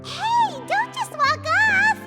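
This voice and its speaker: A woman voicing a small, cheerful, childlike game companion with a squeaky, perky delivery. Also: expressive, slow, over music, exaggerated voice. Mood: indignant. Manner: perky, encouraging. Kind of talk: English game voice acting.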